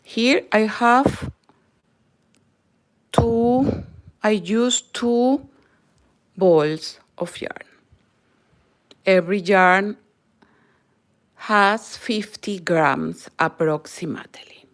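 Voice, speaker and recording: Slow Peruvian woman teaching crochet. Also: mid-range voice. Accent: Peruvian Spanish. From Peru. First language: Spanish. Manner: slow, careful, non-native English, often drops to a near whisper. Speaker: crochet channel host. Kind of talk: live crochet tutorial.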